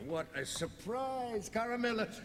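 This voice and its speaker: Blustering wine merchant in cavernous reverb. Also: male voice, very high-pitched, lively intonation, unhurried, fairly bright tone, slight background noise, exaggerated voice. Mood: boastful, jovial. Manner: blustering, exclamatory, pompous. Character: boastful, jovial wine merchant in old Venice, coughing reveler. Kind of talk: theatrical radio drama dialogue. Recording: long hall reverb, faint music.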